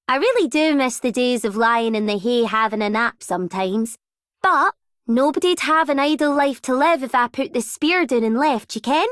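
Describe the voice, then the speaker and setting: A young Scottish spear fighter in a video game. Her voice is high and closely recorded, with a folksy, unpretentious, easygoing way of talking. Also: expressive, moderate pace, no echo, studio quality. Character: plain-spoken, easygoing young spear-wielding woman.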